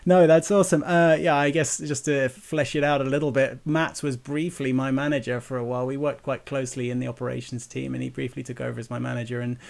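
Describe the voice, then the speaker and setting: A man's voice: medium pitch, level tone, fast talker, creaky, few pauses, darkish tone. A man hosting an interview podcast, chatty and upbeat, often laughing. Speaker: podcast host.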